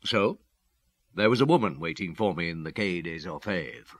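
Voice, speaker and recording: An actor playing a matter-of-fact police detective recounting a case in a radio drama, clipped and factual. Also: male voice, low-pitched, animated, moderate pace, fairly bright tone, dry recording.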